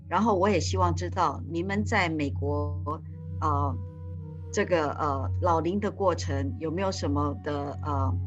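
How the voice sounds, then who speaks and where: A woman's voice: low voice, lo-fi sound. A woman interpreting live, speaking with fillers and frequent restarts.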